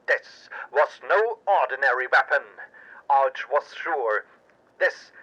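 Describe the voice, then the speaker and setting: A man narrating a pulp audio drama in a theatrical, suspenseful and deliberate way. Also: medium pitch, animated, pushed and bright, highs cut off. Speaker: narrator and storyteller.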